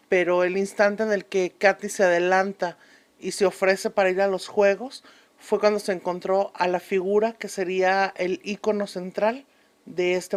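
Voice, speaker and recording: A woman's voice: mid-range voice, close to an everyday voice. A woman playing a learned, earnest historian who explains things formally as a guest in a radio drama. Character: learned, earnest female historian, a convinced researcher. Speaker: expert guest on a history programme.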